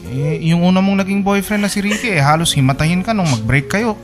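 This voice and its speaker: A man's voice: high voice, lively intonation, fairly quick, music in the background. An actor playing a lovelorn young man in a Filipino radio drama, earnest and colloquial, with a Filipino accent. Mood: exasperated concern. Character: lovelorn young man pining for his best friend. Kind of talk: sentimental Filipino radio drama, narration and dialogue.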